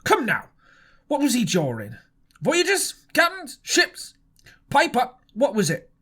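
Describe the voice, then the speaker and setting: A man reading an audiobook, voicing a loud, jovial one-legged pirate innkeeper who blusters and barks orders. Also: very high-pitched, wildly swooping pitch, takes it slowly, pitched above the performer's own voice, full character voice. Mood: blustering. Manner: gruff, blustering, exclaiming.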